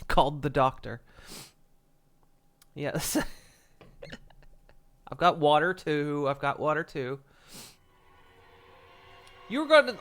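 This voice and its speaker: A man's voice: a little high, fairly bright tone. A congested, stuffy-nosed man chatting casually to viewers as a livestreamer, rambling with frequent chuckles and restarts. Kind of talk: casual stream chat. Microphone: desk microphone.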